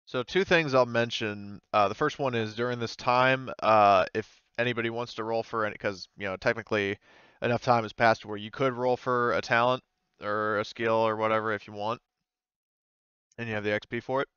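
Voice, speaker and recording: A man with a fairly low voice acts as game master of a tabletop roleplaying game. He explains things in a casual, tentative way. The sound is dry and slightly muffled, like a voice chat. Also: fairly even intonation, moderate pace, a bit bright, clean tone, no echo.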